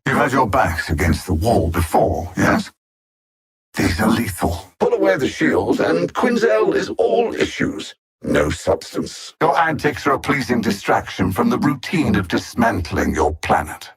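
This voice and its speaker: Haughty, gravelly alien villain. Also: male voice, medium pitch, a bit bright, full character voice. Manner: haughty, erudite, condescending, theatrical. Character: arrogant, coldly mocking alien artificial-intelligence conqueror. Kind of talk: video game villain voice lines.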